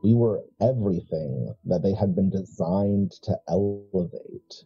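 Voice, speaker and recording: A very dark, low-voiced heir to an occult family, played by a man at a game table, who weighs each phrase with halting deliberation. He is proud and coldly calculating. Music sits behind the muffled sound. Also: gravelly, husky, exaggerated voice. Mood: proud, scheming. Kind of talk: improvised tabletop horror roleplay.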